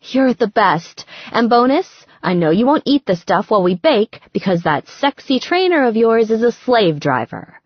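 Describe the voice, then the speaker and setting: A woman's voice: on the high side, sing-song, moderate pace, hardly any pauses, husky, dry recording, highs cut off, voice pushed up. A woman voicing a frantic, bossy, dramatic bride-to-be in an audiobook, emphatic and slangy. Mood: gushing, pleading. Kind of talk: audiobook character dialogue.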